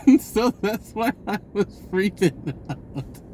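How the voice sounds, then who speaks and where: A man telling a personal story in a casual, rambling, informal way with an animated, giggly delivery. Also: high voice, slow, a little hiss or hum.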